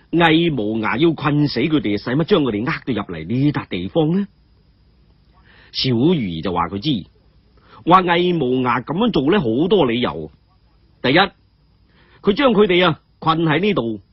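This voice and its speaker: A man with a Hong Kong Cantonese accent telling a martial arts story in a lively, colloquial oral style. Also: a little high, slightly rough, no room sound, muffled recording.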